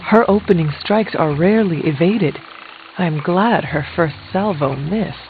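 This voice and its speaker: A woman voicing a stern but curious resurrected warrior in a video game, speaking in a formal, measured, dry way. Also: lowish voice, close to an everyday voice. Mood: dry, serious. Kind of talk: scripted video game dialogue. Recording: thin phone-like band, music behind.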